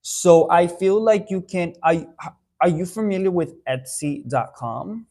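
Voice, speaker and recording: A man's voice: high-pitched, measured pace, a little mellow. Chatty man hosting a casual live stream. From Dominican Republic. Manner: chatty, reads out viewer comments, many fillers and restarts. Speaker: haircare brand owner answering live viewers.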